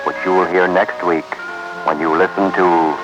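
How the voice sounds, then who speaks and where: Low-voiced male radio suspense narrator. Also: steady tone, dull audio, over music. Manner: solemn, dramatic, deliberate. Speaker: host and narrator. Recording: vintage 1950s radio recording.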